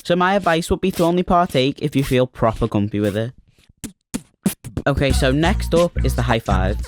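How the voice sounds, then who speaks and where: A man in his twenties speaks with a Liverpool accent, his voice sitting a little high for a man. He narrates in a chatty, self-deprecating, wry way. Clear music plays underneath his narration. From Liverpool, England. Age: 20s. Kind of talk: scripted narration for an animated short.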